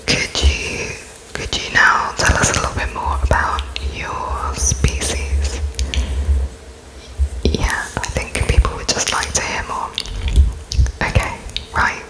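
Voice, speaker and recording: Slow whispering woman, ASMR interviewer. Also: low-quality recording. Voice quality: breathy whisper. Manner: slow, halting, spaced-out phrases as if writing notes, polite and apologetic.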